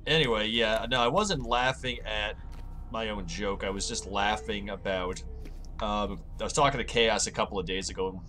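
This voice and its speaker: A man with a slightly hoarse voice, rambling and joking at his own expense as he narrates playing a game. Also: fairly low pitch, normal speed, sharp tone. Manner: rambling, self-mocking, chatty asides. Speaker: gaming channel host. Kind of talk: casual let's-play commentary. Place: home, late at night.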